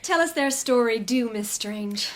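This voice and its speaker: A covetous society woman, catty and arch. She speaks in a fairly high voice, in the manner of a period audio drama. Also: animated, a little slow. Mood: arch, effusive.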